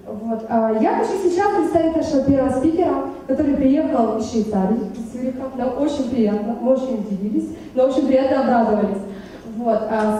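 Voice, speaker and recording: A woman from Ukraine, the event organizer, opening a conference quickly with many hesitant fillers. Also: a little high, mellow. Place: large conference hall. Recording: echoey hall, distant mic, faint noise.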